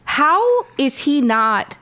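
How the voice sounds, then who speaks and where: A woman's voice: high-pitched, sing-song, extremely slow, a bit bright. A woman co-hosting a political podcast, reacting with outrage. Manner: outraged, exclaiming. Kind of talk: casual political chat.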